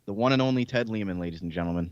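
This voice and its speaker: A man's voice: on the low side, rapid-fire, smooth, no echo. A man hosting casual live sports draft coverage over slightly lo-fi audio. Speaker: sports podcast host.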